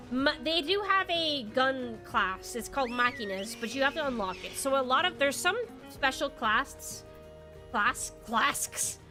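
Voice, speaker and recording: A woman's voice: fairly high pitch, bright, forceful tone. A congested young woman streaming a game, chatting casually and rambling, swearing now and then. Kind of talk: casual game livestream chat. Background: faint background music.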